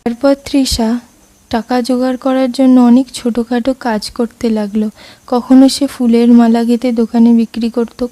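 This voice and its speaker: Mellow high-voiced woman storyteller. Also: no echo. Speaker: story narrator. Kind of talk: scripted cartoon story narration.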